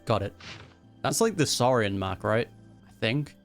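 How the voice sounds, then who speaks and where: A man's voice: mid-range voice, big pitch swings, unhurried, vocal fry. A man gives casual reaction commentary as he plays a game. His voice is mid-range and his manner is chatty and informal. Game music plays faintly behind him. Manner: chatty, chuckling. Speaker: gaming streamer.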